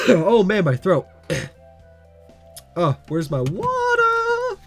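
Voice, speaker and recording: A man's voice: sits high, wildly swooping pitch, extremely slow. A man reading through a visual novel and making joking, chatty asides as its host. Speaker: game commentator. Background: soft game music.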